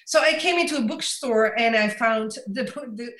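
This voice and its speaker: A woman's voice: on the high side, moderate pace, fairly bright tone. Belgian coach and author, native Dutch speaker, woman, upbeat and reflective, echoey room. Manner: reflective, laughs often. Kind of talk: conversational interview.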